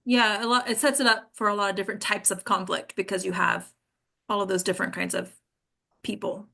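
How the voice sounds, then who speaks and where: A woman's voice: medium pitch, little pitch movement, fairly quick, gravelly, bright, forceful tone. A woman leading an actors' table read, reading and chatting in an informal, encouraging way. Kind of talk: script reading and group discussion.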